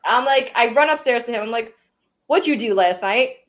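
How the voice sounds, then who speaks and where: A woman's voice: a little high, moderate pace. A woman in her thirties with a Long Island accent, co-hosting a live podcast and telling stories in casual chat, acting out the quotes. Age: 30s. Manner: animated storyteller, acts out quotes. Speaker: podcast co-host.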